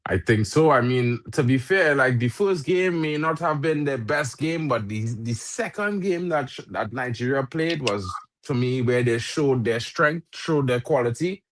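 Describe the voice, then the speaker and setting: A man hosting a live football watch-along, reacting loudly to chances and chatting with guests, English not his first language. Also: medium pitch, expressive, a little slow, few pauses. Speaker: watch-along stream host.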